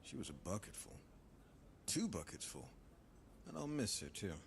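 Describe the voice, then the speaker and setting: A man's voice: lowish voice, sing-song, normal speed, vocal fry, a little hiss or hum. A man acting a brash, joking, big-hearted warrior in a fan audio drama. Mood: fond, mournful.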